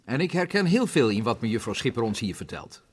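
Very animated man in echoing hall. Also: mid-range voice, brisk, husky. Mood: sympathetic. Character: polite man from Zeeland speaking up at a meeting. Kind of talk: Dutch radio play acting. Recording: noisy reverberant hall, old radio play.

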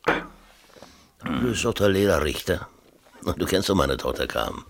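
An actor playing a middle-aged mayor in a 1970s radio drama, chummy, colloquial and patronizing while evasive. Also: male voice, low-pitched, animated, a little slow, vocal fry, a bit bright, full character voice. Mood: evasive, blustering. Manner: familiar, colloquial, brushing off with hearty chumminess.

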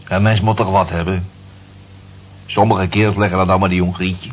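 A man plays a middle-aged working-class husband in a naturalistic radio drama, weary but affectionate. His voice is low and he muses colloquially about life. The old recording is muffled, with noise and hum. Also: level tone, brisk, close to an everyday voice. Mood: defensive, resigned. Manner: colloquial, musing. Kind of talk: naturalistic radio play dialogue.

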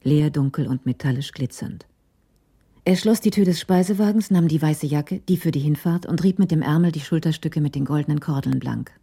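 Female narrator for radio thriller: deep, mellow, scripted storytelling. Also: fairly quick, slightly rough.

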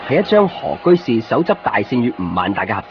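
A man's voice: medium pitch, big pitch swings, quick pace, heavy background noise, natural voice. A man playing an easygoing young copy shop keeper who narrates and chats in a Hong Kong Cantonese radio drama. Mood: wistful. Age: young adult. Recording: muffled radio recording with music.

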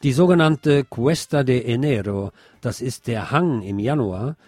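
A man's voice: mid-range voice, measured pace, darkish tone, no room sound. A man reading scripted radio news as the presenter.

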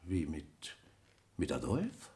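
An actor in a German radio play playing a self-important, hurried male banker, speaking formally and easily affronted. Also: a bass voice, huge pitch swings, mellow, full character voice.

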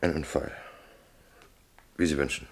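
Crime boss in an old radio thriller, really low voice, short clipped businesslike lines. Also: male voice, measured pace, breathy edge, exaggerated voice. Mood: resigned. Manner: clipped, matter-of-fact. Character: curt, businesslike male crime boss, deferential upward. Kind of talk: German radio crime drama acting.

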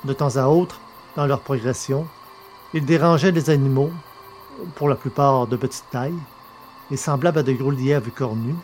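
A man's voice: mid-range voice, fairly even intonation, normal speed, darkish tone, a little hiss or hum. A man narrating an audio drama from a script in a careful, literary reading style. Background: music.

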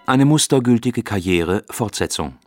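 An actor playing a stern military voice in a radio play, formal and declamatory. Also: male voice, fairly low pitch, lively intonation, normal speed, over music, natural voice. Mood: formal, official. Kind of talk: radio play documentary reading.

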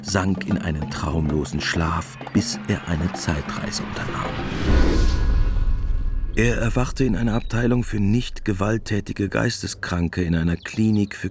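A man's voice: low-pitched, unhurried, soft background music. A man narrating a scripted literary audio drama.

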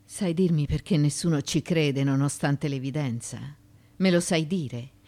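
Low, husky, proud Greek courtesan. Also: female voice, measured pace, mellow. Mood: urgent, challenging. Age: middle-aged. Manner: emphatic, insistent. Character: proud, defiant former courtesan, mother in ancient Greece. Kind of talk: theatrical radio drama acting.

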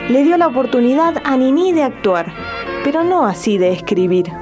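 Brief: female narrator for a scripted radio documentary, high voice, very lively melody, music clearly behind. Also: moderate pace.

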